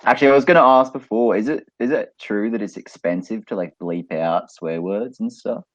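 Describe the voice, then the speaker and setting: A man chatting and telling jokes in a halting, rambling way as a podcast guest. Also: lowish voice, no room sound, highs cut off. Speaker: band member, podcast guest. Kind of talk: casual joke-telling and chat.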